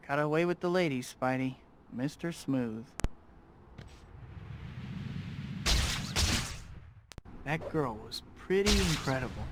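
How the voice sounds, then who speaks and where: A man's voice: a little high, background noise. A young American man playing a wisecracking, well-meaning superhero in a video game, with a boyish, self-mocking manner. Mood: cocky quipping. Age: young adult. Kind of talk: video game cutscene acting.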